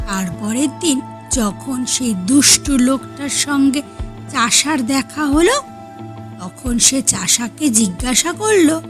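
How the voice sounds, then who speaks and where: A woman storyteller reads expressively in a high voice. Her delivery is slow and measured, over faint background music. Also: expressive. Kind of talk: expressive audiobook-style story reading.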